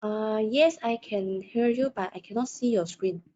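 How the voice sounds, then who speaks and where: A woman's voice: medium pitch, moderate pace, mellow, a little hiss or hum. A woman hosts a webinar in a polite, formal manner. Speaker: webinar host. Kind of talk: scripted introduction and coordination. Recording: dull lo-fi online call audio.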